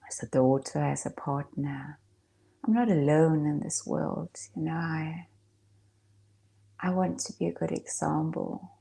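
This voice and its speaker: A woman speaking as a meditation guide, in a soft, breathy, hushed voice with long pauses. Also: low voice. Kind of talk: gentle guided meditation talk.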